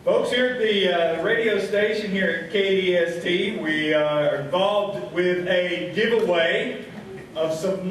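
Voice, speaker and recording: A man's voice: high voice, fairly bright tone, slight background noise. An American man hosting a live radio stage show in a joking, folksy way. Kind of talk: folksy live comedic emceeing. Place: live stage before an audience. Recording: echoey hall, distant mic, faint crowd.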